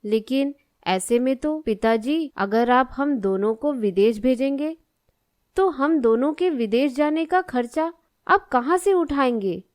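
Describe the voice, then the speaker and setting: A woman acts as a dutiful young cartoon daughter with a high, smooth voice. She is polite, plain-spoken and level-headed, recorded dry and close. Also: animated, a little slow, a little mellow, dry recording. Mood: hesitant, practical. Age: young adult. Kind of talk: Hindi animated moral story dialogue.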